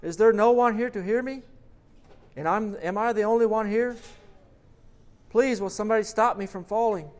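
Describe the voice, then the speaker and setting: A man voicing a desperate condemned man who sobs and begs for mercy, his voice raised high and loud. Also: normal speed, pauses often, natural voice. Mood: desperate pleading. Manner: frantic begging, sobbing.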